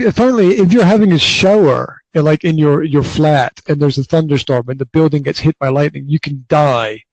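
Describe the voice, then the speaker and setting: A man from the north of Scotland with a Scottish accent, hosting a casual podcast chat indoors in his flat, often restarting his sentences and laughing. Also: fairly high pitch, no echo, poor audio. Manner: stammering restarts, frequent laughter. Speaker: podcast host.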